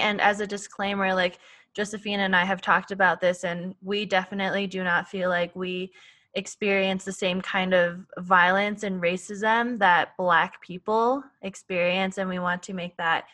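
A woman's voice: medium pitch, level tone, measured pace, pushed and bright. A woman from Canada co-hosting a podcast, speaking earnestly and thoughtfully with frequent "like"s. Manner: earnest, thoughtful, uses "like" often. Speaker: podcast co-host. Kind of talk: casual conversation.

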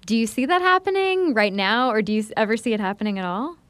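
Smooth, bright-pitched woman host. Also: expressive, fast talker, no room sound. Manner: inquisitive, probing. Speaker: podcast host. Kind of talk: interview questions.

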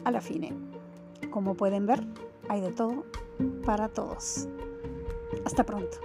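A woman's voice: medium pitch, measured pace, over loud music. A woman hosting her own podcast, talking casually with many fillers and restarts as she thanks listeners and makes announcements. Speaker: podcast host.

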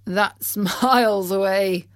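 A brusque male bus driver, as a woman storyteller puts him on, mocking and unbothered. The storybook voice is loud and animated, with a jeering edge. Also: medium pitch, takes it slowly, dry recording, studio quality.

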